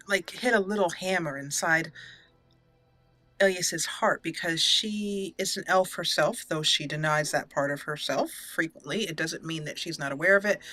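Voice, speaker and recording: A woman's voice: deep voice, pushed and bright. A woman hosting a video game let's play, narrating the roleplay and reading dialogue aloud with expression. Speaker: gaming channel host.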